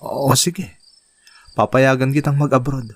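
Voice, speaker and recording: A worn-out husband and father in a radio drama, voiced by a man. He speaks softly and apologetically, with care for his wife. His pitch sits in the middle range. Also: steady tone, a little slow, no echo, close to an everyday voice.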